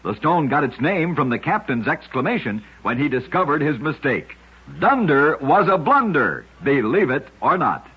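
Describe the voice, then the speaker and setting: A male radio host tells short scripted tales in a dramatic storyteller manner. His voice is fairly high and markedly husky, with lively swings of pitch. The old radio recording is dull and lo-fi. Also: normal speed. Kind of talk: scripted narration of short true oddity stories.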